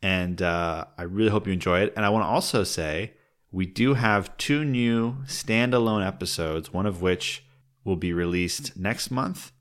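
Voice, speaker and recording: A man's voice: low voice, measured pace. A man hosting a podcast, introducing a show in a casual, informal and enthusiastic way.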